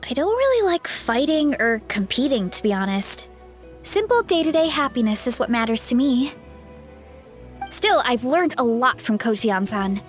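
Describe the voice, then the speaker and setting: A woman voicing a gentle, modest but brave young female warrior in a video game, speaking sincerely and earnestly. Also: high-pitched. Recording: game music behind the voice.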